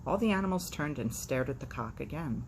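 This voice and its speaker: A woman's voice: rumbling low, animated, brisk. A woman hosting storytime, reading expressively and dropping in playful, sardonic, chatty asides. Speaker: storytime host. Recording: faint background noise.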